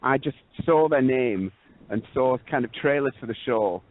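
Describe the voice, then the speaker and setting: A man's voice: medium pitch, animated, moderate pace. A man co-hosting a podcast, talking with dry, wry understatement. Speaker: podcast co-host. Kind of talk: casual podcast chat. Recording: dry tinny phone-like line.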